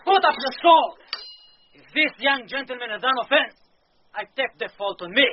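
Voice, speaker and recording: Bold, high-pitched loyal sea captain. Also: male voice, lively intonation, moderate pace. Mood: defiant. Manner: forceful, declamatory. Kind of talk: radio Shakespeare drama acting. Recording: muffled radio recording, faint noise.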